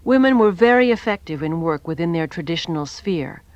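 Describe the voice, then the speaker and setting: A woman narrating a documentary in a clear, scripted reading. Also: on the low side, expressive, a little fast, no echo, lo-fi sound.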